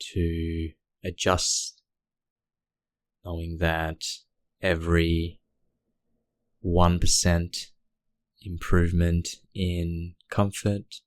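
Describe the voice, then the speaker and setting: A man guiding a meditation in a gentle, unhurried way, speaking in short phrases with long pauses. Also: sits low, no room sound, clean studio sound. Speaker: meditation guide. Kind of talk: guided meditation narration.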